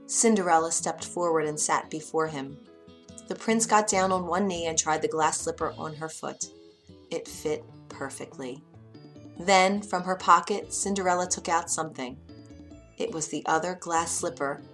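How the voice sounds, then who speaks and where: A woman narrating a children's bedtime story in an expressive, dramatic way. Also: deep voice, moderate pace, faint music behind.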